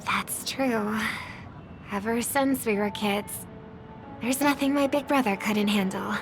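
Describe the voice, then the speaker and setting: An actress playing a gentle young singer and devoted younger sister in a game cutscene, speaking in a soft, hushed way. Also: female voice, medium pitch. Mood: gentle concern. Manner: soft-spoken, slow and hushed. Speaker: game character. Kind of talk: voiced game cutscene dialogue. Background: game music.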